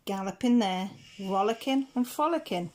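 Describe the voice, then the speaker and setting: A woman reading rhyming picture-book stories aloud in a measured, rhythmic way. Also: mid-range voice, animated, a little mellow. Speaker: children's story reader.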